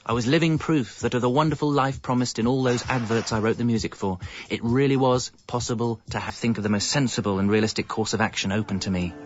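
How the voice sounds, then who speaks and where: A man's voice: medium pitch, steady tone, fast talker, hardly any pauses, natural voice. Male actor as english dad, fed up and evasive, wry confessional radio comedy narration, bit muffled. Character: discontented, evasive young father and jingle writer. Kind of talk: scripted first-person comic narration.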